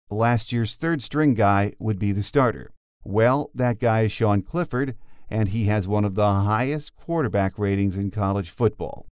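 A man's voice: medium pitch, a little mellow. An American man hosting a sports podcast, reading scripted segments and putting questions to a guest. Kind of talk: scripted podcast narration and interviewing.

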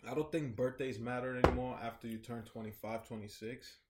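A man's voice: medium pitch, steady tone, a little fast, very noisy. A man in his forties co-hosting a podcast, talking in a laid-back, reflective way and swearing casually. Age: 40s. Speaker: podcast co-host. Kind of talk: casual chat. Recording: echoey room.

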